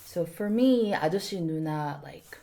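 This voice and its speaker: A woman's voice: low voice, fast talker, vocal fry. A woman in her thirties from South Korea, a native Korean speaker, chatting reflectively as a podcast guest. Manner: reflective, animated, sprinkles in Korean words. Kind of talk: casual podcast chat.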